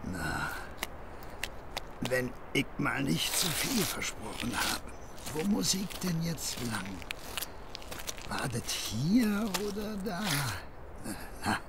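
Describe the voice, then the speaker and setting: A man's voice: on the high side, background noise, full character voice. An actor in a German radio play voicing a good-natured, tipsy working-class husband with a Berlin accent, rambling and slurring as he wheedles.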